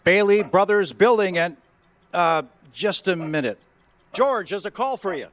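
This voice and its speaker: A bumbling older uncle in an old-time radio drama. His fretful, scared voice runs very high for a man, with clear background noise around it. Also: animated, a little slow. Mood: harried. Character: scared, bumbling older uncle who works at the loan office.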